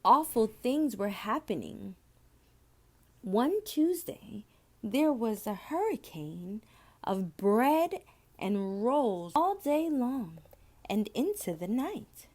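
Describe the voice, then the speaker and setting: A woman reading a children's story aloud, with deliberate, expressive phrasing and drawn-out words. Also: fairly high pitch. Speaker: storybook reader.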